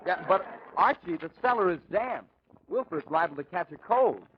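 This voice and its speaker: A man's voice: high-pitched, animated, moderate pace. A man playing a simple, worried tavern hand in a 1940s radio comedy. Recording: muffled, noisy 1940s radio recording.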